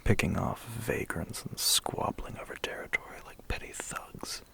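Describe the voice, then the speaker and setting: A man's voice: a bit bright, close to an everyday voice. A man as a smug whispering vampire biker. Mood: scornful. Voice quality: breathy whisper. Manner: slow, condescending, teasing. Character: smug, menacing, centuries-old male vampire biker. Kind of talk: ASMR roleplay acting.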